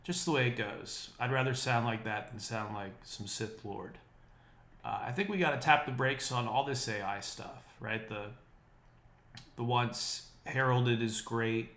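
A man's voice: mid-range voice, steady tone, unhurried, a bit bright, roomy sound. A man hosting his own podcast, talking through the news in a wry, opinionated, rambling way, his voice a bit nasal and congested. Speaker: podcast host. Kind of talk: casual solo podcast monologue. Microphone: unprocessed podcast mic.

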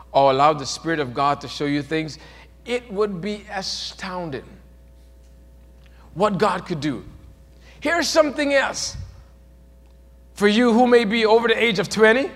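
High-voiced man preaching in a hall. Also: normal speed, long pauses. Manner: swings from soft to shouted, rising to emphatic peaks. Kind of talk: sermon.

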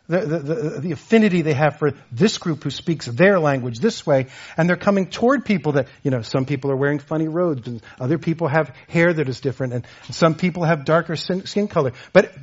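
Husky preacher man, lo-fi sound. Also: a little high. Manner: earnest, repeats words and phrases for emphasis. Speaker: pastor. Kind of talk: sermon.

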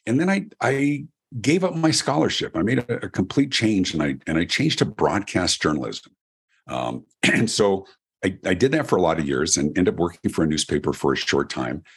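A middle-aged man from Denver with a deep voice, telling his story as a guest on an interview show. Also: moderate pace, breathy edge. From Denver, Colorado, USA. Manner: animated storyteller, chatty with restarts. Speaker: keynote speaker and guest. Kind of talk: podcast interview.

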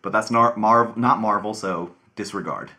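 A man's voice: fairly low pitch, normal speed, sharp tone. A man hosting a podcast quiz game in casual chat, teasing and laughing often. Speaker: podcast host and quizmaster.

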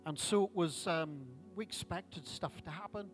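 A man's voice: high-pitched, normal speed, faint music behind. A man, preaching a sermon at a church service in a conversational way with self-deprecating asides. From Ireland. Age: 60s. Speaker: pastor.

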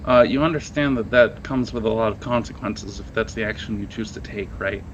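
A man's voice: lowish voice, fairly quick, highs cut off, a little hiss or hum. A man running a tabletop role-playing game, improvising narration in a casual, conversational way and reacting to his players. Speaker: game master.

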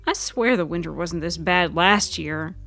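A woman voicing a lively young female student who grumbles and teases, in a wry, expressive way for an audio story. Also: on the low side, measured pace, gravelly, soft background music. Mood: exasperated.

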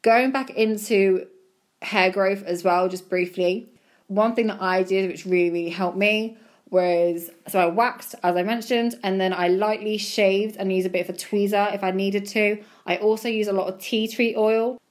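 A woman giving chatty, encouraging advice about bodybuilding show prep, her voice slightly croaky. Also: medium pitch, steady tone, moderate pace. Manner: chatty, encouraging, repeats words for emphasis. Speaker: bodybuilding competitor and podcast host. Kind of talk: casual advice monologue.

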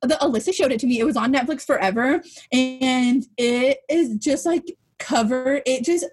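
A young woman co-hosting a podcast, chatting casually in a loud voice, talkative, rambling and frank, with easy swearing. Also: high voice, moderate pace, soft background music. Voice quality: husky, loud voice. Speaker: podcast co-host. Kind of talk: casual, candid chat.

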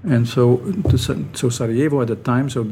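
A middle-aged man, a native Dutch speaker with a Dutch accent, giving thoughtful, halting answers as an academic guest in an interview. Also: mid-range voice, steady tone, noisy background. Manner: thoughtful, halting, often restarting phrases. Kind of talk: reflective interview talk.

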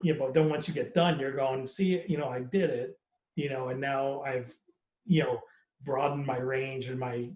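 A man's voice: medium pitch, steady tone, normal speed, darkish tone, roomy sound, lo-fi sound. A man, an actor, talking candidly and casually on a podcast, rambling and laughing often. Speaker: actor guest.

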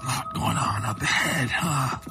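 A man's voice: medium pitch, a little slow, sharp tone. A man voicing a weary, sardonic undead wanderer in a horror audio story, wry and laconic, with music underneath. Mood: wry disgust.

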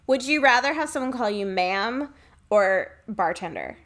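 A woman's voice: mid-range voice, lively intonation, measured pace, fairly bright tone. A young woman co-hosting a podcast in casual, sweary banter, irreverent and self-deprecating. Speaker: podcast co-host.

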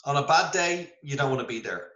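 Bright-voiced Irish man telling stories. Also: a little high, brisk, echoey room, dull audio. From Ireland. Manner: animated storyteller, self-deprecating. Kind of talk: casual video-call interview. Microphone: video call.

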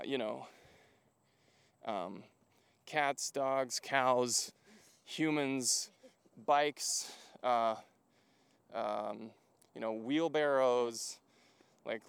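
A man's voice: medium pitch, very slow, creaky, pauses often, clear, resonant tone, no room sound. A man from Hungary chatting casually as a podcast guest outdoors, telling things in a rambling way peppered with fillers. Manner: rambling, filler-heavy storytelling with frequent "like". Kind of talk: casual chat while walking. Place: outdoors, walking to a lookout.

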